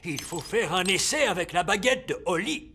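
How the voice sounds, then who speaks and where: A man's voice: sits high, lively intonation, moderate pace, bright, forceful tone, slightly rough, full character voice. A man playing a pompous old wise elf magician in a dubbed cartoon, theatrical and fussy, speaking in sententious pronouncements. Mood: brisk, official. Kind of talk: animated cartoon dub acting.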